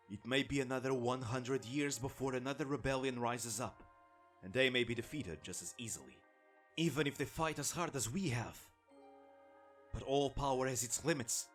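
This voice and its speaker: A man's voice: mid-range voice, a bit bright, natural voice. A man voicing a resolute, saintly rebel leader rallying doomed warriors with impassioned oratory in a fantasy audio drama. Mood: impassioned, rousing.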